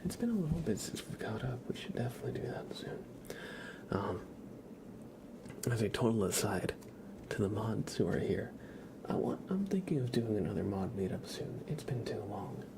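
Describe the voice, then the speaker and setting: A man softly chatting to viewers as an ASMR livestreamer, gentle and unhurried, often in a whisper. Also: on the low side, very animated, creaky, noisy background.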